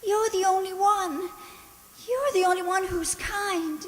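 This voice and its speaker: A woman playing a frightened, naive young maid in a radio drama, speaking gently and hesitantly. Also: very high-pitched, unhurried, long reverb tail. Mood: unhappy, timid. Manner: hesitant, timid, repeats words.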